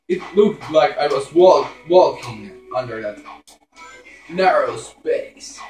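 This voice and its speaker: A man gives casual video game commentary at a slow, hesitant pace with a lively swing in pitch. He mutters to himself in an echoey room with faint music. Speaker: gaming YouTuber. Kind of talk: casual playthrough commentary with role-play. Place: indoors, filming a screen with a camera.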